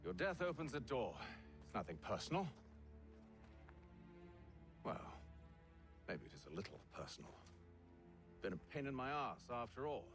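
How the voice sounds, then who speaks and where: An actor with an English accent playing a cold, calculating, sardonic gentleman assassin in a video game, measured and dry. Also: medium pitch, big pitch swings, natural voice. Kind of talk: dramatic video game acting. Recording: loud music behind the voice.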